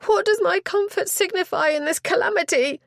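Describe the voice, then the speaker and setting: A woman voicing a frantic middle-aged female chaperone who talks in high, quivering alarm. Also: normal speed, dry recording, studio quality.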